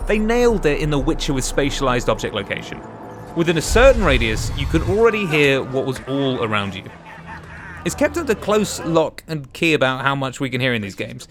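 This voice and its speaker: A man's voice: on the high side, a bit bright. A man narrating a scripted video game countdown as a reviewer, with an emphatic presenter's delivery. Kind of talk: scripted narration of a top five games list.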